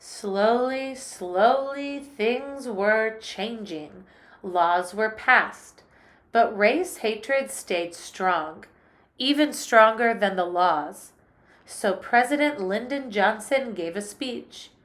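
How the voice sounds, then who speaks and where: A woman music teacher reads a picture book aloud to children. She tells the story slowly and clearly, her voice sitting a little high. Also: lively intonation, fairly bright tone.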